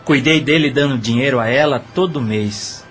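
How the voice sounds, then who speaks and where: A man's voice: medium pitch, moderate pace, music in the background, natural voice. A man playing a murdered brothel owner and killer who confesses matter-of-factly in an audio drama. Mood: matter-of-fact confession. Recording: faint background noise.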